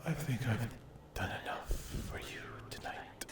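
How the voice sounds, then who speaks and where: A man voicing a cruel, mocking sleep paralysis demon in a breathy ASMR roleplay, taunting and condescending in drawn-out phrases. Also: mid-range voice, lively intonation, natural voice. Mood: smug, possessive. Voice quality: breathy whisper. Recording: roomy echo.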